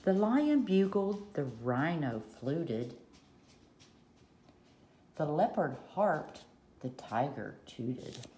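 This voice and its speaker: Low-voiced woman reading a picture book, roomy. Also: sing-song, very slow, long pauses, warm tone. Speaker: reader of a children's picture book. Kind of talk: read-aloud storytelling. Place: at a table in a room at home. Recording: roomy echo, faint background noise.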